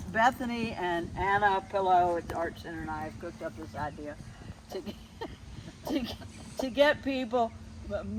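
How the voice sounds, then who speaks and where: A woman interviewer talks in a casual, chatty manner at a middling pitch. She is recorded outdoors, with clear street and traffic noise behind her. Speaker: interviewer, host.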